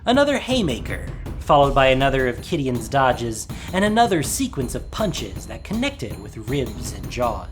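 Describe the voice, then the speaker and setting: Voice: mid-range voice, very animated, moderate pace, hardly any pauses. A narrator reading a scripted fantasy story in a dramatic audio drama style. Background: soft music.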